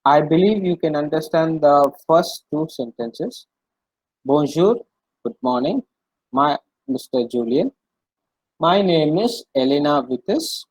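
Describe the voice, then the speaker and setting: A man's voice: a little high, lively intonation, slow, dry recording, poor audio. Indian-accented man teaching, close dry sound. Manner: repeats phrases, checks understanding with short questions. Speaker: French language teacher. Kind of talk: interactive language lesson, translating line by line.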